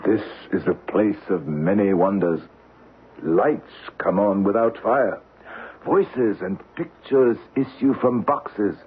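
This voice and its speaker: A man's voice: lowish voice, takes it slowly, a little hiss or hum. An actor playing a courtly, deluded man who believes he is a medieval Scottish knight, speaking in formal, archaic phrases with solemn gallantry in a radio drama. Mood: serene wonder. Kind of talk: 1970s radio drama acting. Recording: tinny band-limited old radio broadcast.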